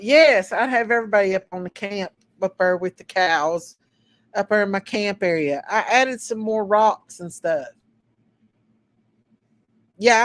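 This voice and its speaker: Slow-talking Eastern Kentucky woman. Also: medium pitch. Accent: Eastern Kentucky Appalachian. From southeast Kentucky. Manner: folksy, chatty, laughs easily. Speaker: channel host. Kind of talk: casual livestream chat reading comments.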